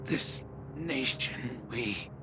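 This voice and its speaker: An actor playing a weak, dying middle-aged father in a video game, gentle and regretful, halting and strained. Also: mid-range voice, animated, over loud music.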